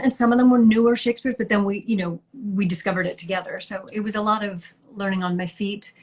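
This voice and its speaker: A middle-aged woman talks easily in a casual interview, her voice sitting at an ordinary middle pitch. She strings thoughts together with small laughs. The sound comes through a video call. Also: rough recording. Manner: chatty, warm laughs between thoughts. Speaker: guest actress.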